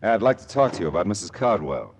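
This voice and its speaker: An actor playing a wry, smooth-talking hard-boiled private detective in a 1940s style radio drama, with dry wisecracks. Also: male voice, on the low side, big pitch swings, fast, slight background noise. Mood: casual, probing.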